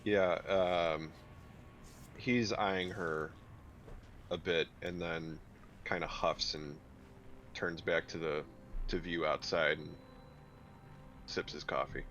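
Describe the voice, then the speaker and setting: A man's voice: sits low, steady tone, takes it slowly, long pauses, fairly bright tone, slight background noise, low-quality recording. A man casually narrating his character's actions as a player in a tabletop game.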